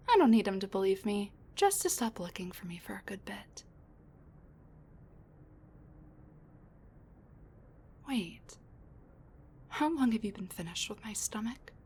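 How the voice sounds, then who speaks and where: A woman playing a sassy, rambling wounded supervillain with a soft side, talking intimately to the listener in a roleplay audio drama, with breathy asides and sighs. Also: mid-range voice, a little hiss or hum. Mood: weary, wry.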